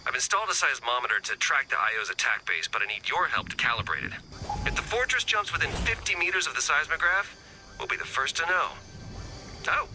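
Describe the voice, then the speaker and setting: An actor voicing a wry, excitable scientist rebel in a video game, sardonic and chatty. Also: male voice, medium pitch, slight background noise, exaggerated voice, low-quality recording.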